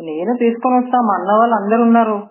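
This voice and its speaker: A man's voice: very high voice, very fast, slight background noise. A man making a prank phone call, persistently pushing his proposal. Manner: pleading, persistent, pushy. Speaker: prank caller. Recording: tinny phone line.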